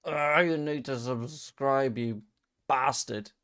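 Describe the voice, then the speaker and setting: A young man from Leeds with a softened Yorkshire accent, hosting a casual live stream and chatting through viewer comments with rambling, dry asides. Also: mid-range voice, slow. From Leeds, England. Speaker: fan channel host.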